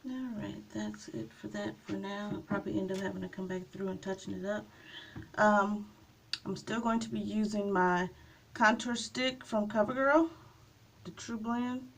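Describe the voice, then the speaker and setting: A woman chatting casually through a makeup tutorial, her voice slightly raspy from a coming cold, unhurried and trailing off with small pauses. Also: medium pitch, steady tone. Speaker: beauty vlogger.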